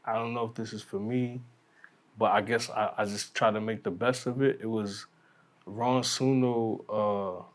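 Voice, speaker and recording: A man's voice: medium pitch, fairly bright tone. Casual slangy man chatting on a podcast. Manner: slangy, conversational. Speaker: DJ guest on a podcast.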